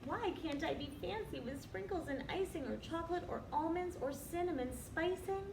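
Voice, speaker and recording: A wistful, envious plump cookie from a storybook, acted out by a woman, laments in a high sing-song. The sound is roomy and distant, with clear noise. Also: moderate pace. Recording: roomy, distant, noisy recording.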